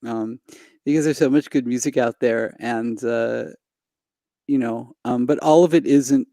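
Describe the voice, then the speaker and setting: A man's voice: mid-range voice, fairly even intonation, a little slow, warm tone, no echo, clean studio sound. A middle-aged man from Los Angeles, a singer guest on a video call using a headset and desk mic. He speaks hesitantly, stopping and restarting his sentences. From Los Angeles, United States. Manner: hesitant, many fillers and restarts. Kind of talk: reflective conversation. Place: home room indoors.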